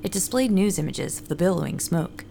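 A woman's voice: on the low side, lively intonation, a little fast, creaky, warm tone, a little hiss or hum. A woman playing a friendly, ordinary runner and ad writer, narrating her story in a scripted fiction podcast. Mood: narrating, calm. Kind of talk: first-person scripted audio drama narration with dialogue.

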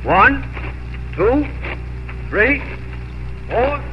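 A man's voice: extremely high pitch, sing-song, drawn-out words, slight background noise, heavily acted. An actor playing a stern, blustery older Texan father in a 1950s radio Western drama. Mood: solemn counting. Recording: echoey, muffled old 1950s radio.

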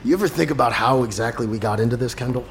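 Weary enforcer played by a man, male, creaky mid-range voice, terse, sardonic, faint noise behind, audio drama. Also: mid-range voice, very fast, close to an everyday voice. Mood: troubled, earnest. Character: weary, conflicted, sardonic male debt collector.

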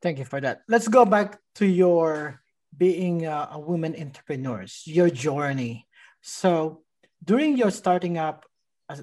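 A man's voice: a little high, a little mellow. A man hosting an interview, asking questions with hesitant phrasing and small grammar slips. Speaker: interviewer, host.

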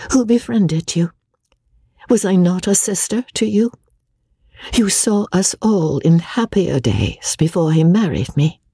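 A woman reading aloud as a hurt young wife who accuses her false friend, speaking in a breathy, trembling voice. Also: lowish voice, a little mellow, no room sound, clean studio sound, voice pushed up, heavily acted.

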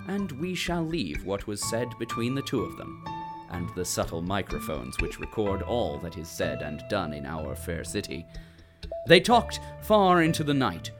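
A man narrating an audio drama in a wry, theatrical storytelling manner. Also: medium pitch.

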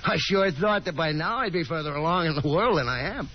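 Guy doing friendly self-assured young man, old radio drama, folksy, pitch all over, hissy dull sound. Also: animated, fairly quick, close to an everyday voice. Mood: breezy, reassuring. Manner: hearty, chuckling, folksy hesitations. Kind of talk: 1950s radio drama acting. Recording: muffled, noisy 1950s radio broadcast.

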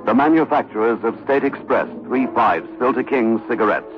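A man's voice: medium pitch, moderate pace. A man announcing for a sponsor in emphatic, dramatic 1960s radio commercial style. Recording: muffled old radio recording with music. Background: loud music.

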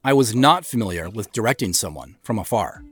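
A man's voice: fairly low pitch, animated, brisk, a bit bright, husky. A man hosting a scripted behind-the-scenes commentary on an audio drama as its creator.

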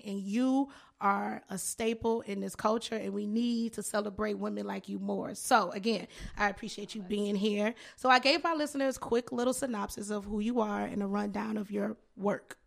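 A woman's voice: medium pitch, creaky. A woman hosting a podcast, talking in a playful, hyped, slangy way as she interviews a guest. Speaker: podcast host. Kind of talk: casual interview chat.

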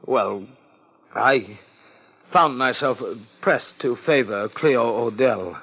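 A man's voice: mid-range voice, takes it slowly, fairly bright tone, breathy edge, heavily acted, poor audio. A man playing a nervous, evasive contest judge in a 1950s radio drama, stammering in formal wording. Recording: vintage 1950s radio recording.

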